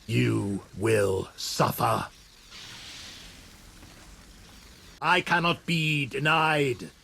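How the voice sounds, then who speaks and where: An actor playing a cold, scornful dark lord in a video game, with a gravelly voice and sneering manner. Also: male voice, medium pitch, lively intonation, a little hiss or hum, heavily acted. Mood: threatening. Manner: sneering, deliberate. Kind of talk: video game villain acting.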